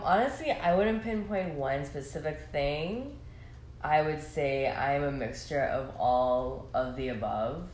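Young woman, very low pitch, reflective casual guest, faint ambient hiss. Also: darkish tone. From Bahamas. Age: 20s. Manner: rambling, reflective, many fillers. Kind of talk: casual conversation. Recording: echoey room, faint ambience.

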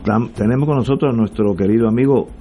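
Upbeat radio host. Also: male voice, mid-range voice, fast, music in the background. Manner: upbeat, brief questions. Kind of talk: radio interview.

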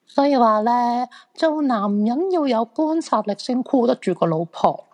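A woman's voice: fairly high pitch, animated, a little slow, darkish tone. A woman acting a cheeky, flirty fortune-teller in a Cantonese radio drama, teasing in colloquial Hong Kong speech. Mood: teasing, playful. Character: cheeky, flirty female fortune-teller friend, eager to see ghosts.

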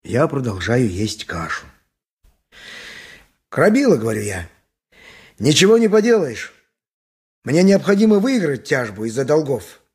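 A man's voice: medium pitch, very animated, a little slow, pauses often, a little mellow, husky, exaggerated voice. A man acting a poor, harried, submissive donkey driver of ancient Greece in a radio play, speaking dialogue and his own narration. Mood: weary, resigned.

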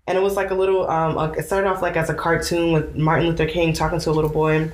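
Fast-talking woman in a roomy space. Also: sits low, little pitch movement. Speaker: panel discussion participant. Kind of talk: casual chat. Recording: roomy echo.

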